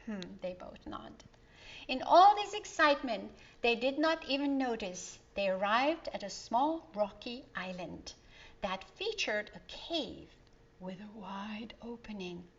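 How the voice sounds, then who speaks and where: A woman storyteller reading a children's tale in a gentle, sing-song way. Her voice sits a little high and rises and falls widely, with a slow, unhurried pace. The recording is dull and lo-fi. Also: big pitch swings.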